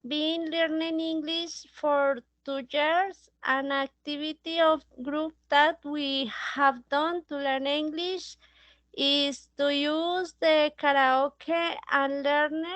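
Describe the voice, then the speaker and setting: Woman, 30s, halting English learner, dry close recording. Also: sits high, a bit bright, no room sound. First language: Spanish. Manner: halting, reads slowly with fillers. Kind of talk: reading a class presentation.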